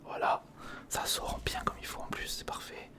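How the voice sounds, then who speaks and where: A man's voice: moderate pace, pushed and bright. Whispering man doing ASMR. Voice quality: whispered, breathy. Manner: informal, talks to the viewer as a friend, murmuring step by step. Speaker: ASMR video creator, host. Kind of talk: ASMR, casual running commentary while building a model. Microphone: close recorder microphone.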